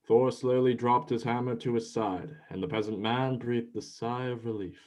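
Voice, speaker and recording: A man's voice: mid-range voice, steady tone, moderate pace, darkish tone, natural voice. A man playing the narrator of a myth play in an amateur reading, with scripted storytelling. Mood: calm narration.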